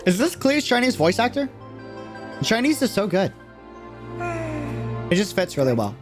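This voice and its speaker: A man's voice: sits high, very animated, moderate pace, music in the background. A man giving casual, slangy livestream reaction commentary, thinking aloud as he goes. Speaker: reaction streamer.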